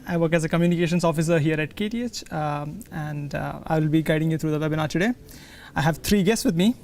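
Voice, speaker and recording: A man in his thirties hosting a university webinar in a large library hall, friendly and measured with small fillers. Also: on the high side, darkish tone. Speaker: host.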